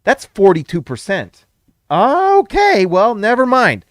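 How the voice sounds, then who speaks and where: Excitable male sports host, higher voice, going a bit hoarse, dry close sound. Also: takes it slowly, no echo. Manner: excitable, emphatic repeats, self-correcting. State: losing his voice. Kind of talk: casual postgame basketball reaction.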